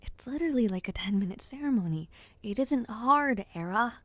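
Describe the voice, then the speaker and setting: A woman playing an affectionate young noblewoman in an intimate audio roleplay, speaking softly and breathily to the listener with hesitant sighs and murmurs. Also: on the high side, lively intonation, moderate pace, no echo. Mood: nervous, self-soothing. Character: affectionate young noblewoman, playful but nervous before her debut.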